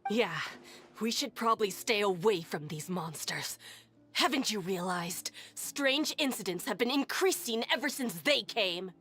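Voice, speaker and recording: A woman's voice: medium pitch, expressive, measured pace, fairly bright tone, soft background music. A woman acting a distrustful townswoman in a video game, with a sneering, accusing way of talking. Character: scornful, distrustful adult townswoman.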